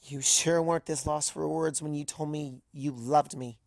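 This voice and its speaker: A woman's voice: low-pitched, steady tone, moderate pace, gravelly, darkish tone, dry recording. A woman voicing a hurt, bitter young female pony in a storybook reading, accusing and sarcastic with pointed rhetorical questions. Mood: cold, spiteful. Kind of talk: dramatised fan fiction reading.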